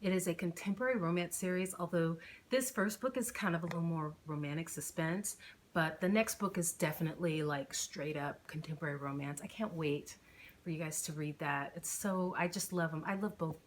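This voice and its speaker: A woman's voice: lowish voice, fairly quick, a little hiss or hum. A woman hosting her book channel as an author, chatting in an informal, enthusiastic way. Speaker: author reading own novel, channel host. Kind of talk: casual chatty channel intro.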